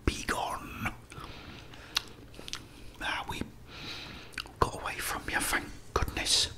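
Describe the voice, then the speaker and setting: A middle-aged man whispers ASMR gaming commentary close to the mic while chewing gum. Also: takes it slowly. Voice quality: breathy whisper. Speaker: video game streamer. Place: indoor room at a desk. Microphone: close desk mic.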